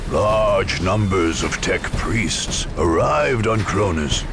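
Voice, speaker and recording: A man's voice: low voice, lively intonation, slow, vocal fry, music in the background. Dramatic male game narrator with sweeping intonation. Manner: solemn, formal storytelling delivery.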